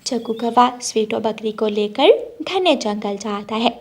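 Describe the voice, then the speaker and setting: High-pitched woman narrating a children's story. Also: a little slow, a little mellow.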